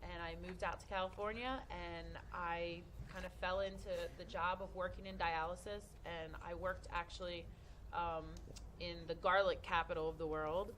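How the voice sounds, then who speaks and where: A woman from Delaware, a nurse guest, speaking in a friendly, hesitant way to young listeners with many pauses and fillers. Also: lowish voice, a bit bright, a little hiss or hum. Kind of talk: casual talk to young audience.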